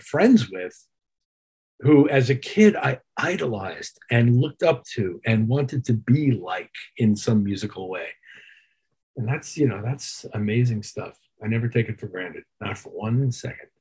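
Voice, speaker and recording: A man with a husky voice talking thoughtfully and articulately as a musician being interviewed. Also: medium pitch, expressive, unhurried, mellow. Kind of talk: reflective conversational interview.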